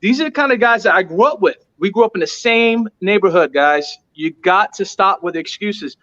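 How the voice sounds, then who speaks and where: A man's voice: high-pitched, animated, normal speed, fairly bright tone, lo-fi sound. A man who owns a restaurant, telling his story as an interview guest with emphatic, earnest repetition. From United States. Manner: emphatic, repeats points for stress.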